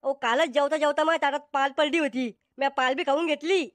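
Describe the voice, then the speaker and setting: A squeaky, very high cartoon villager, played by a woman, blunt and always ready to bicker. The sound is dry and close, with comic village dialogue. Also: steady tone, brisk, no echo, faint music behind, lightly acted. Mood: matter-of-fact.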